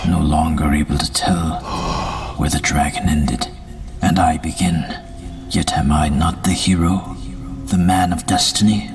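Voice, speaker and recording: Under loud music, a very deep voice broods as a male actor plays him. He is a haunted, grim madman of gothic audio drama. His delivery is theatrical and very slow, sinking into hushed whispers. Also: little pitch movement, recorded from a distance. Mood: brooding, feverish.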